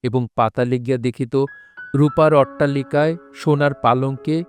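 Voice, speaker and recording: A man reading a scripted literary story as narrator, in measured, formal diction. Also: medium pitch, fairly even intonation. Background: faint music.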